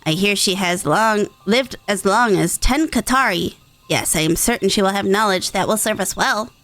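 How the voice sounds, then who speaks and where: A woman's voice: medium pitch, normal speed, a bit bright, no room sound, natural voice. A woman plays a young, small rodent-folk scholar from a fantasy game. The voice is earnest and courteous, with formal, old-fashioned wording over faint music. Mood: eager, courteous. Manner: formal, archaic phrasing. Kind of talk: reading video game dialogue in character.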